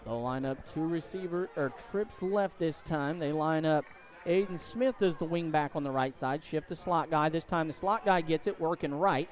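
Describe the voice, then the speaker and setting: A man doing live play-by-play commentary of a high school football game. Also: moderate pace. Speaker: play-by-play announcer.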